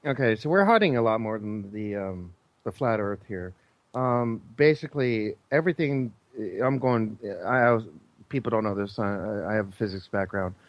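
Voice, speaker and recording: A man's voice: lowish voice, normal speed, dry recording, dull audio. A man talking casually as a show guest, explaining things in a rambling, earnest, insistent way.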